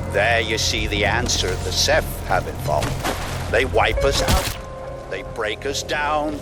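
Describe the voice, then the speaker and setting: An actor playing an urbane older mastermind in a video game, measured, erudite and sardonic as he guides the player. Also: medium pitch. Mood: detached fascination. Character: urbane older male mastermind, wry and condescending. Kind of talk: guiding monologue in a video game.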